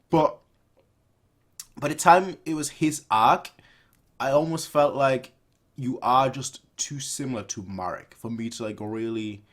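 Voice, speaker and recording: Young man rambling in casual reaction commentary. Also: mid-range voice. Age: 20s. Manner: animated, rambling, repeats phrases for emphasis. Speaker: reaction video host. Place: small room at home, indoors.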